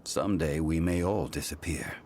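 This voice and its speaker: A man playing an ancient, composed god of stone in a video game, speaking formally in a measured, solemn manner. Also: very deep voice, a little mellow, slight background noise. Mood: reflective, solemn. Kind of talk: scripted video game cutscene acting.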